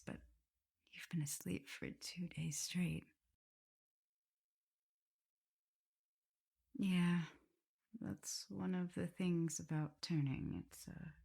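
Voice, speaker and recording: Casting: woman as tender, teasing vampire mistress and prim teacher; ASMR roleplay, breathy half-whisper, creaky low register. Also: heavily acted. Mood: tender, soft. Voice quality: soft, breathy, often whispering. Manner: tender, playful, hesitant murmuring. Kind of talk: intimate ASMR roleplay addressed to the listener.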